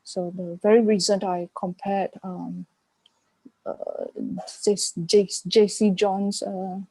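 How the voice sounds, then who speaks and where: A woman giving reflective answers as an interview guest, haltingly searching for her words. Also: medium pitch, flat delivery, dark tone, dry recording, poor audio. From Malaysia. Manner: halting, searching for words in non-native English. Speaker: art curator and panel guest.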